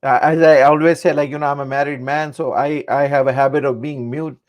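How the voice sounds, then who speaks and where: A man's voice: on the high side, steady tone, brisk, dull audio. A man from Pakistan asking an interview guest questions on a video call, hesitant and full of ums and uhs.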